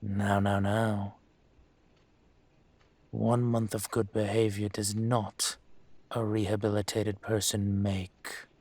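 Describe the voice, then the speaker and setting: Man playing a slow, menacing dragon mafia boss. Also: on the low side, little pitch movement, darkish tone, dry recording. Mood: stern, condescending. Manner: measured, condescending, drawling menace. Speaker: audio roleplay performer. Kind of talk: scripted roleplay monologue to the listener.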